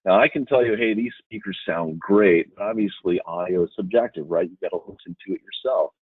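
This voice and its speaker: A man's voice: deep voice, fairly quick, breathy edge, no room sound. A man presenting products to dealers in an informal webinar, explaining like a salesman and often checking in with short tags. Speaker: webinar presenter for a speaker brand. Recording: muffled, phone-like webinar audio.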